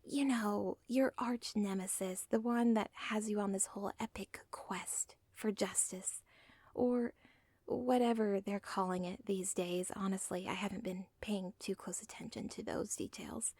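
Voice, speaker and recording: A lovesick villain's daughter, played by a woman whispers close to the mic, rambling through asides about her own story. Her voice is gravelly and husky, and she drawls slowly. The sound is dry, with no room around it. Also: mid-range voice, steady tone, no echo. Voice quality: soft whisper. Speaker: audio roleplay performer. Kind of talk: ASMR audio roleplay.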